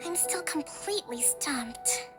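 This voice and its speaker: Casting: young female strategist for a fantasy game, high gentle voice, thoughtful, formal phrasing, music underneath. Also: animated. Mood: weary. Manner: measured, formal, articulate. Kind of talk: video game voice acting.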